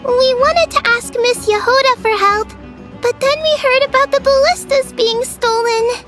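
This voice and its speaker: A woman voicing a small, excitable, earnest fairy-like companion in a video game. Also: very high voice, unhurried, faint music behind. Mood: earnest, hesitant. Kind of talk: video game character acting.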